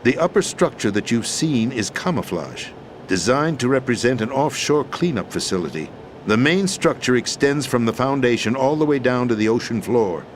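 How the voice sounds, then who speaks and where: An actor playing a weary, formal deposed president and schemer in a video game, explaining things in a measured, deliberate way. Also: male voice, medium pitch, animated, a little hiss or hum, lightly acted. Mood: grave, deliberate. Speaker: video game character in a cutscene. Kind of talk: dramatic expository video game acting.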